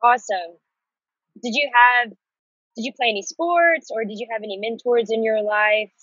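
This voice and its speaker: A woman's voice: on the high side, normal speed, a bit bright, slightly rough, dry recording. A young woman interviewing her guest on a video call, asking short friendly questions. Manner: friendly, asks short questions, laughs along. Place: indoors by a window. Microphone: laptop webcam on a video call. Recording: dull, dry laptop-mic sound.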